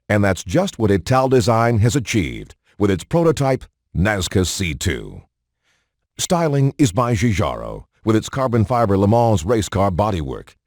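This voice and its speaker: A man's voice: fairly low pitch, a little mellow, dry recording, studio quality. Male narrator with widely swinging intonation. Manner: measured, polished announcer delivery. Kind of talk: scripted documentary-style narration.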